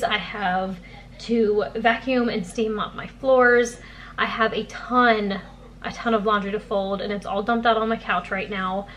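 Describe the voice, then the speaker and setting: A young woman, a stay-at-home mom, talking in a relaxed, friendly way with plenty of "um"s as she narrates cleaning her home. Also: on the high side, a little hiss or hum. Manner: friendly, relaxed, chatty with frequent "um". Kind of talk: chatty cleaning vlog voice-over. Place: home, kitchen and living room.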